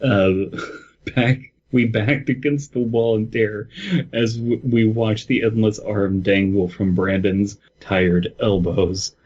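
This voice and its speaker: A man's voice: on the low side, fairly even intonation, slow, a little mellow. A man co-hosting a comedy podcast, casually joking and reading a story, on a dull lo-fi microphone. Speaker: podcast co-host.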